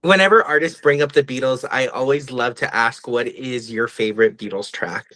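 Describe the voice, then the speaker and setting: A man's voice: mid-range voice, normal speed, bright, forceful tone. A man hosting a podcast interview in a casual, friendly and encouraging conversational way.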